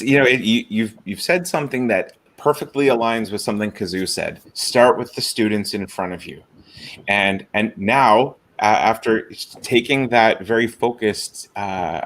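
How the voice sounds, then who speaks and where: A male host on a video call, reflecting on a guest's story and framing his next question with lots of fillers. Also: medium pitch. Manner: thoughtful, circling questions with fillers. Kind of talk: hosting an online panel.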